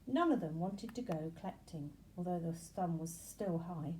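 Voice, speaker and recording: Lively, mellow-voiced woman reading a story. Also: on the low side, moderate pace, a little hiss or hum, poor audio. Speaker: storybook reader. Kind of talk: expressive children's story narration.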